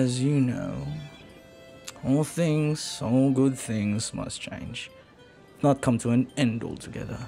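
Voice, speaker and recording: A man playing a flirty, silver-tongued pirate in a romantic audio roleplay, tender and teasing, sometimes dropping to a breathy murmur. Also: medium pitch, a little mellow. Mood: wistful, tender. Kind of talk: romantic audio roleplay acting, intimate second-person monologue.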